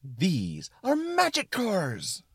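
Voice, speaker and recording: A man voicing a pair of excitable, breezily reassuring suspenders in a comic skit, with emphatic delivery. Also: high-pitched, extremely slow, creaky, husky, dry recording, studio quality, pitched above the performer's own voice, full character voice. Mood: gleefully insistent.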